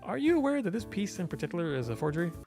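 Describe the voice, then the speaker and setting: Visual novel angel girl, performed by a man: raised pitch, earnest, polite, matter-of-fact, faint music behind. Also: sing-song, very fast, creaky, exaggerated voice. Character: earnest, blunt, studious female angel.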